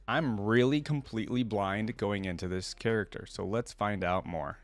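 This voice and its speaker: Low-pitched man, casual reaction host. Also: no echo. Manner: informal, thinking aloud between read passages. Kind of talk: casual reaction commentary mixed with reading aloud.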